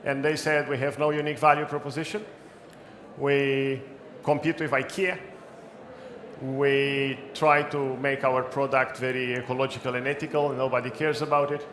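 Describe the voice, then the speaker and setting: A man with a non-native English accent speaks at a conference event in a brisk, practical way, adding light jokes. His voice bounces around an echoey room. Faint background noise runs underneath. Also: medium pitch, little pitch movement, a bit bright. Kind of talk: talk with audience questions. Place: event hall.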